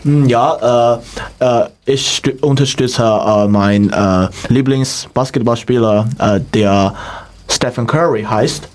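A man from China, a student guest who halts with many uhs and reads prepared answers more smoothly. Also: mid-range voice, takes it slowly, darkish tone. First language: Chinese.

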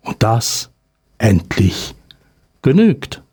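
A man reciting spoken word poetry in a measured way, pausing after each short phrase. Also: on the low side, very animated, darkish tone, husky.

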